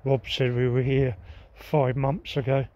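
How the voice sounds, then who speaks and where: A man giving halting, off-the-cuff commentary as he walks around outdoors with a handheld camera. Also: medium pitch, level tone, mellow. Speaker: urban exploration vlogger. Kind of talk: casual walking vlog commentary. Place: outdoors on an overgrown derelict site. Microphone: handheld camera's built-in microphone. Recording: muffled, noisy handheld outdoor recording.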